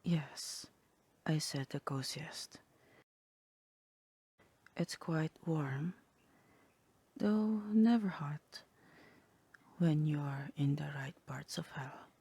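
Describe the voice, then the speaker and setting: A woman voicing a sly, teasing demon in a half-whispered ASMR roleplay, languid and wry, with a husky voice. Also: sits low, expressive, extremely slow, pauses often, dark tone, dry recording, exaggerated voice. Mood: teasing, coaxing. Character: sly, teasing demon tempting a human toward hell.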